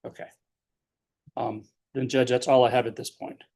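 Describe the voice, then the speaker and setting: A man working as a defense attorney, questioning his client in court in a measured, explanatory way with leading questions. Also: mid-range voice, little pitch movement, vocal fry, darkish tone, slightly rough.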